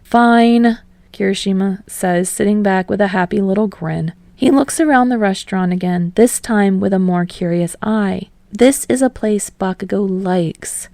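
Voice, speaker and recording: A woman's voice: medium pitch, normal speed, gravelly, hardly any pauses, warm tone, no echo. A woman reading a scripted story aloud as an audiobook narrator. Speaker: podfic narrator.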